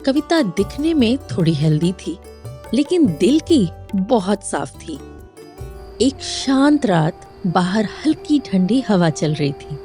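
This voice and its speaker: Female storyteller: soft-toned, mid-pitched, scripted cartoon narration. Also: sing-song, takes it slowly, faint music behind.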